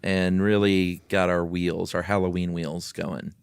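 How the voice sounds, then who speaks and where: A man's voice: low voice, little pitch movement, measured pace, vocal fry, clear, resonant tone, no room sound. A man hosting a podcast, moving between casual chat and dramatic reading of a spooky story. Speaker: podcast host.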